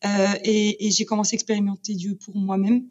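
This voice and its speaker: A woman's voice: medium pitch, brisk. A woman from British Columbia, a guest talking passionately about her faith, with many restarts. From Canada, British Columbia. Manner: passionate, animated, restarts often. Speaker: guest speaker. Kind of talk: casual chat. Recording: dull lo-fi sound.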